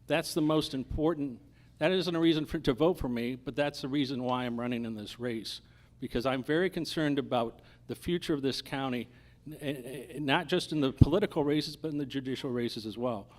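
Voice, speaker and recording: Man, middle-aged or older, medium pitch, judicial candidate, halting, earnest closing statement. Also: darkish tone. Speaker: candidate for district judge.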